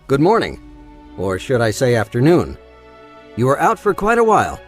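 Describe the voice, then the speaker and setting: An actor playing a bitter, wealthy old miser in an audio drama, speaking with menacing politeness. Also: male voice, mid-range voice, huge pitch swings, moderate pace, faint music behind. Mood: coolly menacing. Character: cold, bitter rich old miser holding captives.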